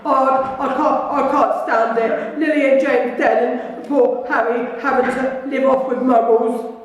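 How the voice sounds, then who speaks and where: A soft-hearted giant man, tearful and stammering, deferential to his elders, acted out by a woman reading a storybook. He comes out high and loud, heard from across an echoey room with a long ringing tail. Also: moderate pace, lightly acted. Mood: sobbing. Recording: echoey room, far from mic.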